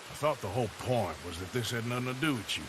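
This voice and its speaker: A man playing a gruff, laconic outlaw. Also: mid-range voice, animated, fast, noisy background, lightly acted. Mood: dry. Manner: dry, laconic drawl. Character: gruff, dry-witted male outlaw gunman.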